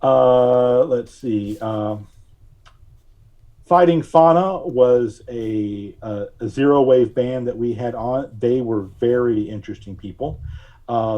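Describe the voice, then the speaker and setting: A man speaking as a podcast guest, recalling things casually in a halting, deliberate way with frequent long hesitations. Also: medium pitch, warm tone. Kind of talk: casual interview recollection.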